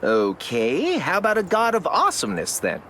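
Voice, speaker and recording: A man's voice: on the high side, huge pitch swings, moderate pace, a bit bright, slight background noise. A man playing a playful, brash young superhero in a video game, joking and cocky.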